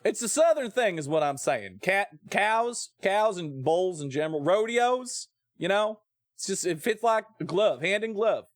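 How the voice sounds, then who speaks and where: Male streamer, American, fairly high voice, playful folksy drawl in asides, casual gameplay chat, dry close sound. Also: expressive, measured pace, no room sound. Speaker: game streamer. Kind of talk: casual joking live gameplay commentary, reading game text aloud.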